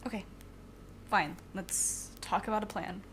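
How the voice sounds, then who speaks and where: A woman's voice: mid-range voice, steady tone, a little slow, a bit bright, a little hiss or hum, close to an everyday voice. A young woman playing a wary, principled reluctant villain in an audio drama, hesitant, questioning and sarcastic. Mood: resigned.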